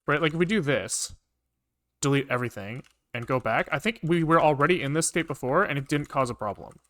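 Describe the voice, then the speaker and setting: A man's voice: a little high, lively intonation, fairly quick, fairly bright tone, dry recording. A man livestreaming some programming, casually thinking aloud, muttering to himself, repeating phrases and swearing now and then. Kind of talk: casual live coding commentary, thinking aloud. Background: computer keyboard typing and clicking.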